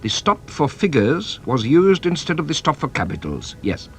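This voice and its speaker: An actor playing a shrewd, playful French police inspector in a British radio drama, with a French accent and a teasing, theatrical delivery. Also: male voice, on the high side, huge pitch swings, normal speed, a little hiss or hum. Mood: wry, curious.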